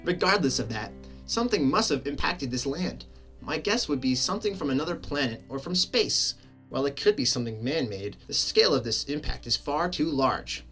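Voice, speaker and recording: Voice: medium pitch, animated, a little fast, a bit bright, slightly rough. An actor playing a curious, eager scientist explorer in a radio drama, explaining things in keen, formal phrasing. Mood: thoughtful. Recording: background guitar music under the voice.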